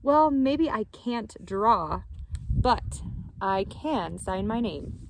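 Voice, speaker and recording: Woman reading as a stubborn young schoolgirl, slowly drawn out. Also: mid-range voice, lively intonation, noisy background. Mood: glum, stubborn. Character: discouraged young schoolgirl, stubborn budding artist.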